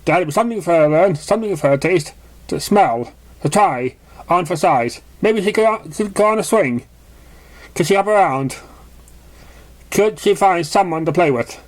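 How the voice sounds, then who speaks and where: A man's voice: sits high, expressive, normal speed, long pauses. A man reading a story aloud as an amateur narrator, stumbling over words now and then. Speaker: amateur reader of fan fiction.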